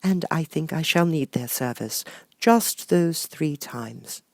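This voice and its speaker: A man voicing a kindly young good witch in a storybook, gentle, gracious and measured. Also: a little high, lively intonation, gravelly, no room sound, studio quality, voice pushed up, full character voice.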